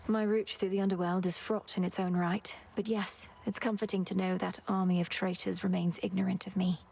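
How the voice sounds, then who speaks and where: An actress playing a determined, solemn young witch princess in a video game, speaking in an earnest, formal, measured way. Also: medium pitch, little pitch movement, creaky, darkish tone, a little hiss or hum, close to an everyday voice. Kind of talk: video game character dialogue. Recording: music behind the voice.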